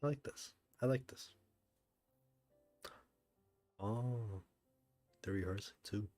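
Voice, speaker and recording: Low-voiced man casually reacting to a game. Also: measured pace, a little mellow, no room sound. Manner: sweary, slangy, rambling. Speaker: game streamer. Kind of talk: casual reaction commentary over gameplay. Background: faint game music.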